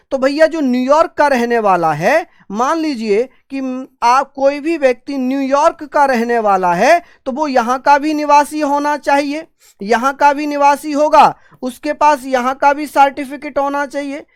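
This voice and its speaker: High-voiced woman teaching, dry close mic. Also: no room sound. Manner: repeats key terms and fires questions at students; acts out students' answers in call and response. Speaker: exam coaching teacher. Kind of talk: online classroom lecture.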